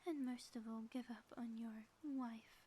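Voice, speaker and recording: A woman's voice: on the high side, fairly even intonation, unhurried, dark tone, lightly acted. A woman playing a theatrical, gloating villainess in a scripted ASMR audio roleplay, with florid, melodramatic delivery. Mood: commanding, menacing. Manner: grandiose, florid, melodramatic phrasing.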